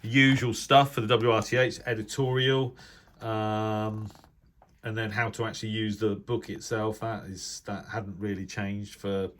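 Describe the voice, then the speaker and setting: Low-voiced, middle-aged British man rambling casually. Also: little pitch movement, measured pace, fairly bright tone. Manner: hesitant, rambling, thinking aloud. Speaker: radio hobbyist video presenter. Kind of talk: casual unscripted commentary while browsing a reference book.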